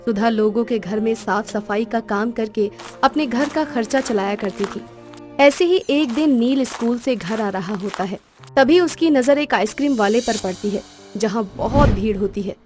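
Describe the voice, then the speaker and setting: A woman's voice: fairly high pitch, normal speed, darkish tone. A woman reading scripted narration for a moral story. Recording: music behind the voice.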